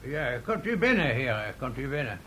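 An actor playing a nervous, timid mayor in a radio play, hesitant and flustered, repeating himself. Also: male voice, medium pitch, very animated, moderate pace, noisy background, close to an everyday voice. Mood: flustered, placating. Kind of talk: Dutch radio play acting.

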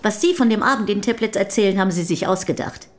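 An actress playing a curt, possessive older wife of a famous poet in a German radio drama, speaking in a clipped, dry, formal way. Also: female voice, medium pitch, fast talker, close to an everyday voice. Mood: cool, dry.

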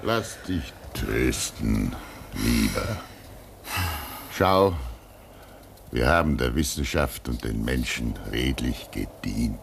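A man's voice: rumbling low, lively intonation, slow, gravelly, long pauses, a little hiss or hum, heavily acted. An actor playing a pious older man at a polar station who consoles and admonishes his companions, in a radio drama. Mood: consoling. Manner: soothing, gently admonishing.

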